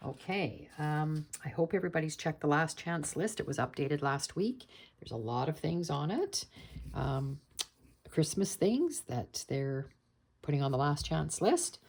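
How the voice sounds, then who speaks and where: A woman with a low, gravelly voice, hoarse and croaky from a cold. She talks through a card-making tutorial in a chatty, patient way, with a husky edge. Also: darkish tone. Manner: chatty, explains steps patiently with fillers. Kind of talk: casual crafting tutorial.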